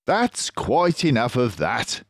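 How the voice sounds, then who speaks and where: Grown male fox leader for a storybook man acting: higher-pitched, drawn-out delivery, confident, keen and in command. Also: huge pitch swings, vocal fry, no room sound, studio quality, full character voice. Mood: assured. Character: commanding, enthusiastic adult father fox, male.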